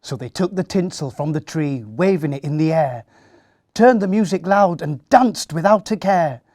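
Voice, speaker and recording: A man reading a rhyming children's story aloud in an expressive storytelling style. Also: on the high side, moderate pace, no echo, clean studio sound.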